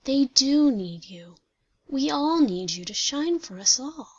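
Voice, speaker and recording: Serene loving goddess voiced by a woman, devoted daughter. Breathy, highish, comforting, radio play, slightly muffled recording. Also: expressive, takes it slowly, no room sound, full character voice. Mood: tender, concerned. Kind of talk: dramatic reading like a radio play.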